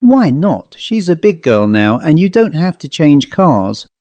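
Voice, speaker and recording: Man voicing a curt, hard, defiant housewife, raised pitch. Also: sing-song, unhurried, mellow, dry recording, highs cut off. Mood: cold, dismissive. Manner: scornful, curt, rustic phrasing.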